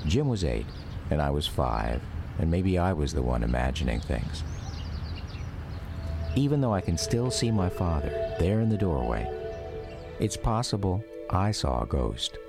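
Very deep narrator, reflective, scripted radio memoir, expressive pitch, strong music bed. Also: unhurried, gravelly, pauses often. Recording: music behind the voice.

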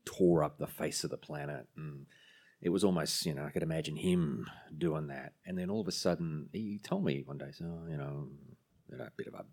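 A man being interviewed, speaking haltingly and reflectively with many restarts. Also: rumbling low, breathy edge. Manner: halting, repeats words, soft reflective delivery. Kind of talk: casual conversational interview.

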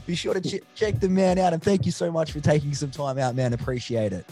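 A man's voice: a little high, fairly even intonation, brisk, warm tone, faint music behind. An Australian man hosting a casual interview in a matey, affirming way. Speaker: interview host.

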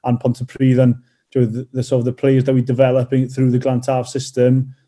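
A man's voice: medium pitch, monotone, brisk, dark tone. A Welsh man from North Wales in his thirties, a rugby player and teacher answering interview questions with many fillers and restarts.

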